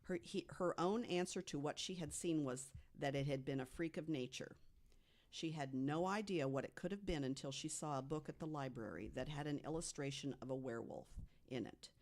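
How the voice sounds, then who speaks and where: A podcast panelist tells a researched true story to co-hosts at an average pitch. The delivery follows written notes, with small slips corrected along the way. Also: brisk.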